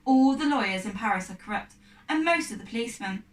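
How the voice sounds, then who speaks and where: A woman's voice: a little high, lively intonation, fast talker, close to an everyday voice. Cocky fearless kid from a storybook, a woman putting him on, blunt talk, sounds a bit far off. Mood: dismissive. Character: blunt, fearless, streetwise boy, dismissive and proud. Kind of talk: storybook character dialogue. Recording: echoey room, distant mic.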